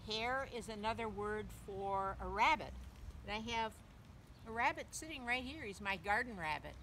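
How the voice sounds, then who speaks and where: A woman reading a picture book aloud to young children, gently, in simple phrases, pausing to ask the listener questions. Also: mid-range voice, lively intonation. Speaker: children's story reader, teacher. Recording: clearly noisy background.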